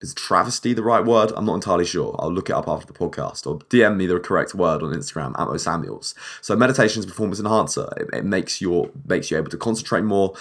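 Young guy doing a solo podcast, deep husky voice, restarts his words a lot. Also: lively intonation, quick pace, few pauses, fairly bright tone. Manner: rambling, repeats and restarts words. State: a bit dehydrated and short on sleep. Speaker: podcast host.

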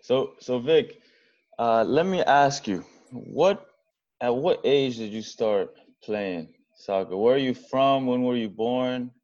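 A man's voice: medium pitch, slow, darkish tone. A man hosting a podcast interview, friendly and upbeat with slangy greetings. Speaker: podcast host. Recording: dull lo-fi audio.

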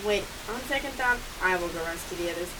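A woman's voice: fairly low pitch, expressive, quick pace, close to an everyday voice. Scornful female cat villain acted by a woman, sarcastic, superior, amateur radio comedy, distant mic, faint hiss. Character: bossy, scornful young female cat scheming world domination. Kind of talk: amateur scripted audio comedy acting.

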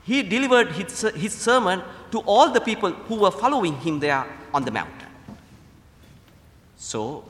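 Sri Lankan priest preaching in a reverberant hall. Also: male voice, sits high, lively intonation, normal speed, fairly bright tone. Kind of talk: sermon. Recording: echoey hall with long reverb.

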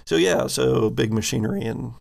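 A man's voice: mid-range voice, moderate pace, gravelly, darkish tone. A man working as game master, narrating an improvised sci-fi tabletop game descriptively and putting questions to the players.

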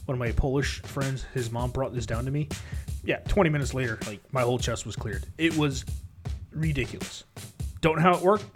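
A man's voice: medium pitch, brisk, over music. A man hosting and narrating a tabletop game as game master, upbeat and chatty.

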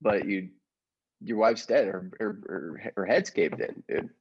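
A man with a husky voice acting in improvised comedy, halting and stammering. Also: on the low side, highs cut off, background noise.

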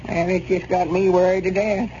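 Casting: male actor as worried rustic Southern old-timer, high voice, 1930s radio comedy, faint hiss. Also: flat delivery, normal speed, mellow, natural voice. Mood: worried, plaintive. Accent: rural Southern US. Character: worried, folksy old country grandpappy. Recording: muffled, noisy 1930s radio recording.

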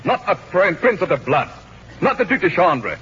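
A scheming general with pompous, mock-courteous delivery. His speech swings widely and cuts brightly through a dull, noisy vintage radio broadcast. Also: high voice, big pitch swings, normal speed. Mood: indignant, urgent. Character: scheming, sardonic Spanish general, adult man. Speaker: radio actor. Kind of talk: 1940s radio comedy acting.

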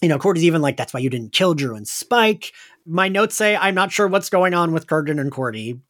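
A man's voice: fairly high pitch, expressive, a little fast, a bit bright. A man casually recapping an episode on a podcast, giggly and a bit meandering as he talks. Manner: giggly, prone to laughing; meandering, hesitant. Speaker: podcast host.

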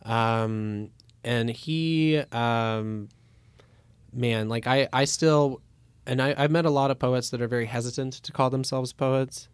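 Thoughtful man with a smooth voice. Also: medium pitch, steady tone, slow, no room sound. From Russia. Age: 30s. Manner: thoughtful, hesitant, many fillers. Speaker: guest. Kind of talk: casual interview talk.

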